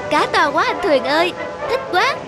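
A woman's voice: very high voice, very animated, moderate pace, loud music behind the voice. A woman voicing a friendly little boat anchor in a cartoon, with a chirpy, coaxing way of talking. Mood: cheerful.

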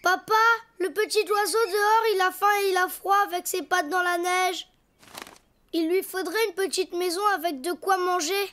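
A woman's voice: extremely high pitch, fairly even intonation, normal speed, fairly bright tone. A woman voicing a playful, imaginative little boy donkey in a cartoon, with a chirpy, childlike, sing-song way of talking over background music. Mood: eager. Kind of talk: cartoon voice acting. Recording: music bed under dialogue.

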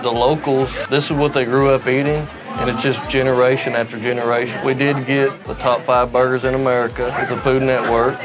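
A man's voice: medium pitch, flat delivery, a little fast, hardly any pauses, low-quality recording. A man, son of a diner owner, talking plainly and steadily in an interview inside a busy diner. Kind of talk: casual interview. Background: music.

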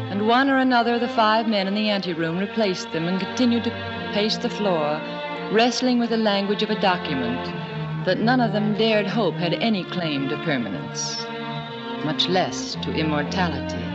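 A woman narrating an old-time radio drama in a literary, dramatic storytelling manner. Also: medium pitch. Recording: muffled old radio recording. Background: loud music.

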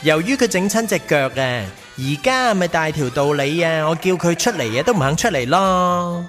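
A campy, nosy Hong Kong man in a radio comedy. His fairly high voice swoops around in a Hong Kong Cantonese accent, teasing over light music. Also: lively intonation, moderate pace, fairly bright tone. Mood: gossipy narrating. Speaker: lead and narrator of radio drama. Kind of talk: comedic radio drama narration and dialogue. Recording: radio drama with music underneath.

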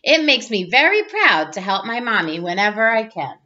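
A woman in her thirties reading a children's picture book aloud in an expressive, playful way, like a teacher. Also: medium pitch, normal speed. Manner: expressive, playful exclamations and sound effects. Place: small room at home, indoors. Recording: dull lo-fi webcam audio.

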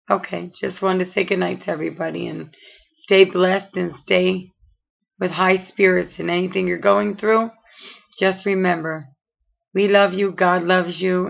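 A middle-aged woman with a raspy voice, tired and in pain, talking slowly to a webcam with many pauses in a personal video diary. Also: medium pitch. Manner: slow, weary talk with many pauses and fillers. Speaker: vlogger. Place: dim room at home at night. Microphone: webcam close up. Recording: dull lo-fi webcam audio.

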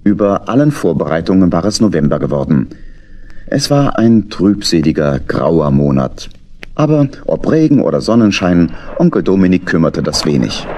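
Male narrator for children's audio play, deep voice, animated melodic delivery. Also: normal speed. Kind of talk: scripted children's story narration.

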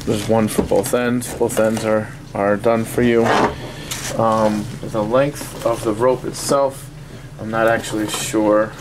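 A man giving a casual, halting, offhand unboxing review at a desk in a small indoor workspace. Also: lowish voice. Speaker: product reviewer. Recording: steady noisy room ambience.

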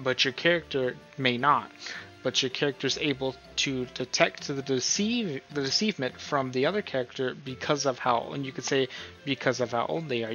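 A man's voice: medium pitch, sharp tone. A man giving a casual screen-recorded tutorial, thinking aloud informally and often checking in with the listener. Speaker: tutorial host. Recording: dull, lo-fi sound with music.

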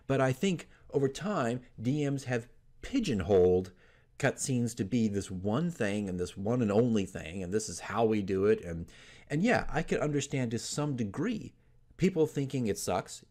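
A man's voice: mid-range voice, big pitch swings, normal speed, a little mellow. Emphatic middle-aged man talking casually. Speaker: dungeon master giving tips as channel host. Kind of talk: casual opinion talk to camera. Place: small furnished room at home, indoors. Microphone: desk microphone in front of him.

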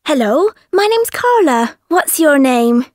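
A woman's voice: high-pitched, big pitch swings, slow, darkish tone, no echo, studio quality, exaggerated voice. A woman playing a friendly woman who greets a new acquaintance in a chatty way. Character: friendly young woman, Carla.

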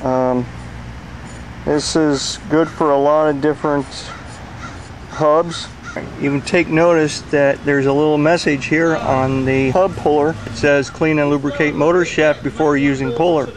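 A middle-aged man working as an HVAC technician, explaining a repair step by step in a plain, unhurried way with many pauses, recorded outdoors beside an air conditioning unit. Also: on the high side, background noise. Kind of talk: hands-on repair tutorial.

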